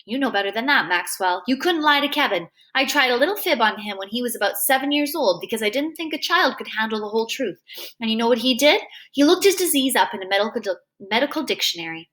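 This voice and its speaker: A woman voicing a compassionate, sorrowful female doctor, gentle and earnest, in an audiobook. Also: fairly high pitch, lively intonation, fast. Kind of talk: acted audiobook dialogue.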